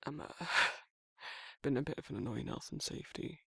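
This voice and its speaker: A man's voice: medium pitch, animated, normal speed, sharp tone, no echo, clean studio sound, full character voice. A man playing a protective, awkward werewolf in an intimate ASMR roleplay, speaking breathily and hesitantly, often near a whisper. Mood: sheepish, rambling. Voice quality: husky, breathy, gravelly, often dropping to a whisper. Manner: hesitant, rambling, bashful, informal. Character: protective, awkward, soft-hearted male werewolf confiding in a friend.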